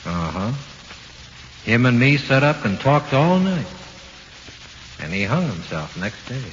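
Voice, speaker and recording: An actor playing the ghost of an executed gangster in 1940s radio horror, talking tough, streetwise slang with cool menace. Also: male voice, medium pitch, very animated, a little slow. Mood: casual, cool. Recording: muffled hissy 1940s radio recording.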